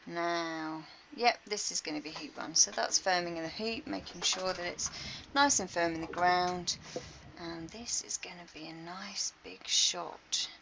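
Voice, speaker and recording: A woman's voice: fairly low pitch, unhurried, creaky, fairly bright tone. A woman giving chatty, informal live sports commentary with colloquial exclamations. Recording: dull lo-fi stream with background noise.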